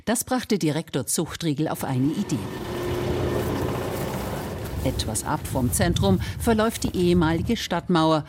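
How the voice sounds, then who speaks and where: A woman's voice: low-pitched, lively intonation, measured pace, long pauses, background noise. A woman reading scripted narration for a radio feature as its reporter.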